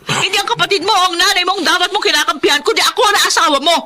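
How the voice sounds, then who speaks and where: A woman's voice: very high-pitched, fairly even intonation, racing, pushed and bright. An actress with a Filipino accent playing an aggrieved, strong-willed young wife and mother in a radio drama. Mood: indignant, hurt. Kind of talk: emotional radio drama acting and narration.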